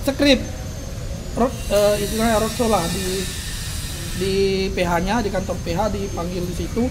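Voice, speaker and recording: High-voiced man, noisy background. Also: unhurried. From Makassar. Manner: animated, laughs often while telling stories. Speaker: comedian guest. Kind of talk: casual storytelling chat.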